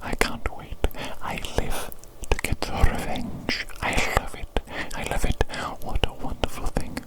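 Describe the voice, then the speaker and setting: A performer voicing an evil doctor in a whispered ASMR medical roleplay, with breathy, formal, repetitive and deliberate phrasing. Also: lightly acted. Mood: gleefully vengeful. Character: evil doctor, doting on creature patient, gleefully vengeful.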